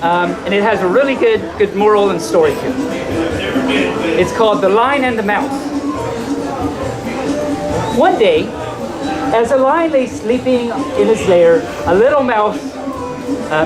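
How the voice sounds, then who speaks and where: A storyteller reading a bedtime tale to a live audience informally, haltingly, often repeating and correcting phrases. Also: medium pitch, expressive, over loud music, poor audio. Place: large indoor hotel room at a convention. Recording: loud crowd in a large public room.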